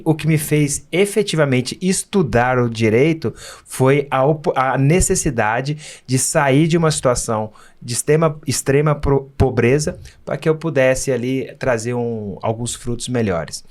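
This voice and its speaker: A man's voice: mid-range voice, darkish tone. A Brazilian man from Minas Gerais speaking as a podcast guest, telling personal stories in an earnest, emphatic, conversational way. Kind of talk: casual interview storytelling.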